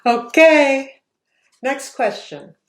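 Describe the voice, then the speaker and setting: Slow, expressive woman reading aloud. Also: high voice. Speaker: author reading her book aloud. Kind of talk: children's read-aloud. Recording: roomy echo.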